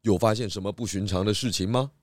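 Man playing a low-voiced, methodical detective inspector. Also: slight background noise, natural voice. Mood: methodical, probing. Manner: measured, patient, leading with questions. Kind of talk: children's audio drama acting.

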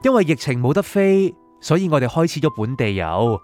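A man's voice: fairly high pitch, sing-song, fairly quick, a little mellow, faint music behind. A man playing a recently dead, reflective young man at peace with letting go, in a radio drama. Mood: nostalgic. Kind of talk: Cantonese radio drama acting and first-person narration.

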